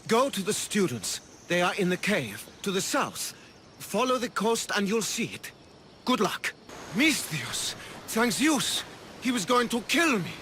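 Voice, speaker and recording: An actor playing a panicky, self-serving schemer of ancient Greece in a video game, anxious and pleading. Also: male voice, high voice, very animated, measured pace, sharp tone, a little hiss or hum, exaggerated voice. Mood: wheedling, anxious. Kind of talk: video game character acting.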